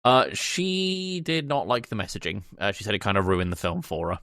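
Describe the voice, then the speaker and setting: Man, podcast co-host, lower-pitched voice, dry close recording, informal review talk, thinking aloud. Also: normal speed, a bit bright, dry recording. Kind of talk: casual film review chat.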